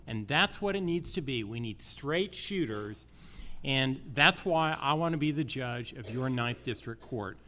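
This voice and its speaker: A man's voice: mid-range voice, a bit bright. A man giving an emphatic campaign speech as a judicial candidate, addressing his audience directly. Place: theater, live audience.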